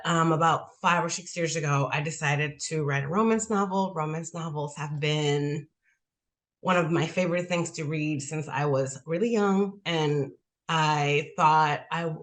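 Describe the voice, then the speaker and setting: A woman's voice: deep voice, unhurried. A woman in her forties from the Republic, answering interview questions in a chatty way full of "like" fillers. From Dominican Republic. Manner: chatty, heavy use of "like" fillers. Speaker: guest author.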